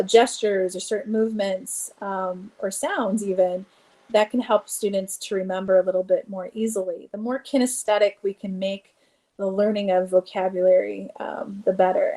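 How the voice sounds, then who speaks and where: A woman's voice: mid-range voice, warm tone, low-quality recording. A woman giving an online teacher training presentation on classroom activities, speaking casually with frequent fillers.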